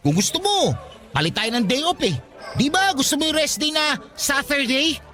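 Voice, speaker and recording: A man playing a lovesick, jealous young fast-food worker in a radio drama, whiny and pleading as he talks. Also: extremely high pitch, animated, sharp tone, soft background music, heavily acted. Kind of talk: Tagalog radio drama acting.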